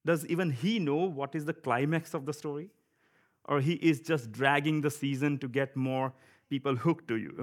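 Indian man preaching with a chuckle. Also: on the high side. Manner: conversational, chuckling, with frequent fillers. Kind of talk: sermon. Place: church.

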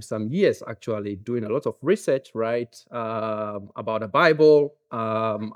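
A man with a Ghanaian English accent, preaching a sermon in a relaxed, joking manner with frequent fillers. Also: medium pitch. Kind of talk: conversational sermon.

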